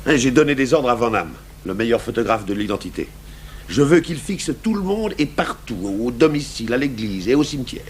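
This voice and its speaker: An actor playing a gruff, brooding, stubborn French police commissioner in a 1980s radio drama. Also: male voice, mid-range voice, expressive, brisk, natural voice. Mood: frustrated, brooding. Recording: noisy old radio broadcast recording.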